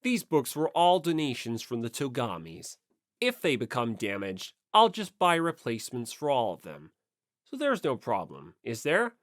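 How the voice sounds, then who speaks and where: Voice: mid-range voice, huge pitch swings, normal speed, no room sound, studio quality, pitched below the performer's own voice. An audiobook reader voicing a haughty, imperious teenage heir, curt and condescending.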